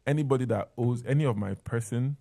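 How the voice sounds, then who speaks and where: A man's voice: mid-range voice, fast talker, gravelly, dark tone, no echo, studio quality. A man with a Nigerian accent talking conversationally as an interview guest, sharing personal stories.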